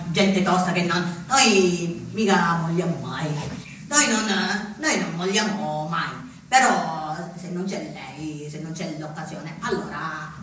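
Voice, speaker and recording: Halting, vain rabble-rouser, echoing stage. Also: male voice, sits high, sharp tone, full character voice. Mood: brooding, menacing. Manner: halting, obsessively repeating phrases, swelling into oratory. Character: male far-right rabble-rousing unionist, vain and tough. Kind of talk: theatrical monologue. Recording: very echoey stage, distant mic, noisy.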